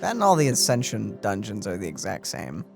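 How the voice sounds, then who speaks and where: Playful, animated male gamer. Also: lowish voice, brisk, gravelly, music in the background. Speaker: let's play host and player. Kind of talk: casual gaming chat.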